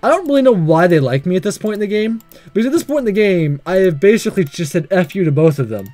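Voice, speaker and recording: A man giving casual, rambling and excitable let's play commentary as the host of a visual novel playthrough. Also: high voice, quick pace, dry recording, soft background music.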